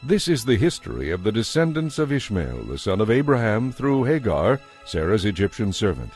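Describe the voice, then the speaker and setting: Low-voiced man narrating scripture. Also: big pitch swings, moderate pace, darkish tone, breathy edge. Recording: music behind the voice.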